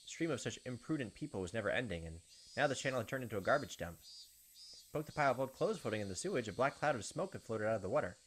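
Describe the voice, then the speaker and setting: Animated man narrating a game playthrough. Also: mid-range voice, very fast, slight background noise, rough recording. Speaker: gaming video host. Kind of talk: visual novel playthrough, read-aloud narration and casual commentary.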